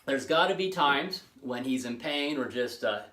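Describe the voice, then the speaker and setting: A man's voice: medium pitch, expressive, moderate pace, pushed and bright. Man in his forties, echoey room. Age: 40s. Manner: rambling, many fillers and restarts. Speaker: fitness channel host. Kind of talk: casual monologue to camera.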